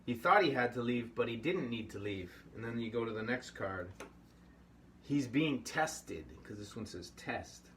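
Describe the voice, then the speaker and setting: A man's voice: medium pitch, expressive, measured pace, fairly bright tone. Easygoing middle-aged man teaching puppetry. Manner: easygoing, rambling, encouraging. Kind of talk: casual workshop lesson. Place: indoor studio room at a table. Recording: slightly distant mic, faint room hum.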